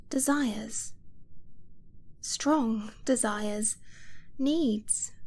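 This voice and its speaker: A woman playing an affectionate, earnest dragon-blooded girlfriend in an ASMR romance roleplay, speaking softly and haltingly, often dropping to a whisper. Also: on the high side.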